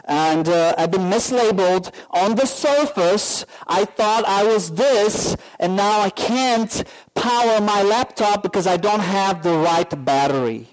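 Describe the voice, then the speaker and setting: A pastor delivers his sermon in a church. His voice sits high for a man, and he talks slowly, with many pauses and fillers as he tells a personal story. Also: low-quality recording.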